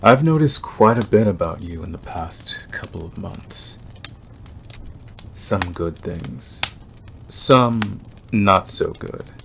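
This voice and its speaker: A man playing a predatory, obsessive male werewolf in an ASMR roleplay, talking in a deliberate, teasing way with drawn-out pauses. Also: deep voice, expressive, vocal fry, fairly bright tone, a little hiss or hum, full character voice. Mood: sly, intent.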